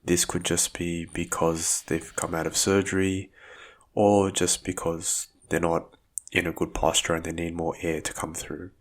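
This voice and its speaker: Slow, low-voiced man guiding meditation. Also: flat delivery. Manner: measured, soothing, phrase-by-phrase delivery. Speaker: physiotherapist guiding a breathing exercise. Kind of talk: guided meditation, calm instructional narration.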